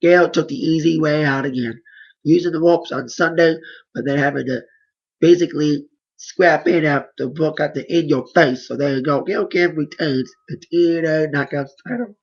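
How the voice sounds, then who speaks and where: A hoarse man giving a casual, rambling play-by-play recap of wrestling matches. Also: fairly high pitch, normal speed, dull audio. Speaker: wrestling reviewer.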